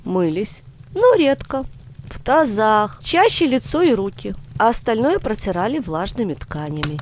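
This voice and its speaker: Woman reading as a wry, explaining grandfather, lively intonation. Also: fairly high pitch, moderate pace, a little hiss or hum. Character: knowledgeable older grandfather, patient explainer with wry humour.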